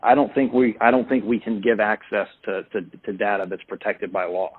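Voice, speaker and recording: A man giving polite but firm, halting rebuttals on a conference call. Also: fairly low pitch, breathy edge, no echo. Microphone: telephone. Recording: dry, muffled, narrow phone line.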